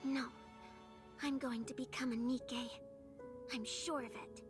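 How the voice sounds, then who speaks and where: Sweet, high-voiced little girl. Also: steady tone, takes it slowly, music in the background. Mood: earnest, determined. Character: sweet, earnest, brave orphaned little girl. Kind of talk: English video game voice acting.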